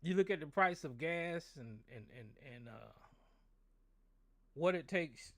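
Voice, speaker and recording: A hoarse American man, a show host, ranting angrily and profanely, repeating himself and stumbling over words. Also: fairly high pitch, lively intonation, a little slow, no echo. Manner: angry, profane, repetitive. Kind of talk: political rant on a call-in show.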